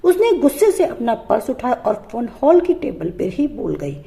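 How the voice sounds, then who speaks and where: Lady telling a moral story, lively up and down pitch, bit of fry. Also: normal speed. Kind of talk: scripted Hindi moral story narration.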